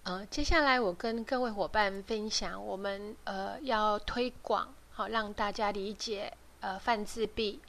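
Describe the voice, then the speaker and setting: A woman with a Taiwanese Mandarin accent hosting a podcast, explaining things informally step by step with soft particles at the ends of her sentences. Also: mid-range voice, unhurried, hardly any pauses. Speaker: radio show host.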